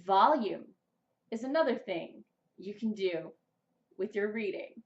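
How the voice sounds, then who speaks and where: A young adult woman presenting a scripted vlog of writing tips in an expressive, deliberate public-speaking manner. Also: medium pitch, dull audio. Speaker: vlogger, writer and amateur voice actor. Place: small plain indoor room, seated.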